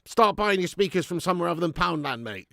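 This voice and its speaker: A man hosting a live fan watch-along of a football match at home, chatting to viewers with sweary banter and bursting into loud cheering at chances. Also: sits high, lively intonation, fast talker, creaky, dry recording, studio quality. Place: at home in front of a camera.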